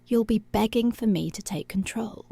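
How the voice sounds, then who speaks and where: A commanding policewoman toys with her captive, acted out by a woman, in a soft, dark voice with plenty of creak. She taunts seductively and takes her time, sliding into whispers, in an intimate, very dry close-up ASMR style. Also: mid-range voice, normal speed, no room sound. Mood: taunting, seductive. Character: dominant, teasing, menacing policewoman toying with her captive. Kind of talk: intimate ASMR roleplay, slow and breathy.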